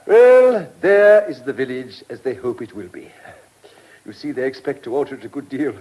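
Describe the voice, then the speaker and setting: A man's voice: medium pitch, big pitch swings, normal speed, warm tone, rough recording. An actor in a British radio drama playing a fussy, courteous village council chairman who laments change. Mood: regretful.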